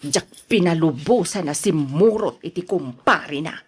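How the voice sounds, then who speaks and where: An actress playing a put-upon wife who answers back, in an Ilocano radio drama, with an Ilocano accent and a husky voice. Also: female voice, low voice, expressive, moderate pace. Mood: exasperated.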